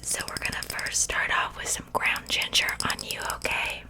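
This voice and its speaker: A sweetly menacing witch, played by a woman in an ASMR roleplay. She speaks entirely in a whisper, unhurried and soothing. Her reassurance is false, the coaxing of a captor who plans to cook her guest. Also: lightly acted. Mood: soothing, gleeful.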